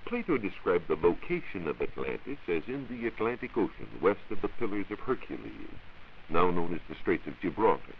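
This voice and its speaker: Formal american guy, low voice, old time radio science lecture, measured delivery, bit of hiss. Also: very animated, vocal fry. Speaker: science reporter. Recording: muffled, hissy 1940s radio recording.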